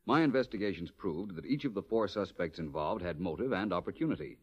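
An actor playing a suave, self-assured detective in 1940s radio drama style, with a smooth, wry, deliberate delivery. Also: male voice, low voice, expressive. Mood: calmly explaining. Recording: muffled old 1940s radio recording.

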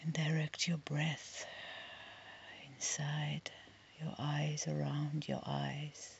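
A woman leading a guided meditation in a soft, soothing, breathy voice, leaving long pauses between short phrases. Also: low voice, flat delivery, dull audio, slight background noise.

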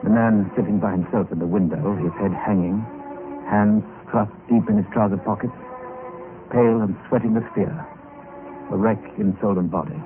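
An actor playing a wary, formal gentleman newcomer in an old-time radio mystery. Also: on the low side, fairly even intonation, normal speed, phone-quality sound, music in the background, close to an everyday voice. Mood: grave narration. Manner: polite, formal, questioning. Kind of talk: old-time radio drama acting and narration. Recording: band-limited vintage radio recording with hiss.

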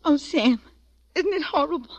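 A woman's voice: sits high, animated, normal speed, no echo. An actress playing an anxious, gullible young wife with an American accent, fretful and girlish, in 1940s radio style. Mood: frightened, pleading. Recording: dull, band-limited vintage radio recording.

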